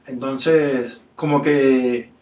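A man in his thirties from Mexico with a Mexican accent, a guest telling stories in a relaxed, slangy way in a small room. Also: mid-range voice, drawn-out words, distant mic, slight background noise. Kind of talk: casual storytelling interview. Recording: echoey room.

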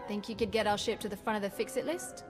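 A woman's voice: mid-range voice, fast, close to an everyday voice. A woman playing a cheeky, irreverent young pilot in a video game, casual and sarcastic. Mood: teasing. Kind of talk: video game cutscene acting. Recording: loud music behind.

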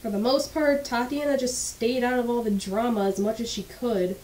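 A woman's voice: on the high side, fairly even intonation, normal speed, darkish tone, poor audio. Stuffy-voiced young woman telling a story. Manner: candid, blunt, swears casually. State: stuffy, slightly congested voice. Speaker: animated storytime narrator. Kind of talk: casual storytime narration.

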